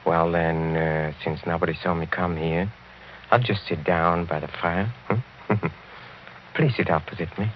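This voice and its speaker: A dull, hissy old radio recording carries a sinister older count. His voice is deep and deliberate, with polished courtesy laid over menace. He speaks as a decadent nobleman in a horror play. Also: fairly even intonation. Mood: courteous menace. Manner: suave, chuckling, deliberate menace with courteous hesitations. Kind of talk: radio horror drama acting.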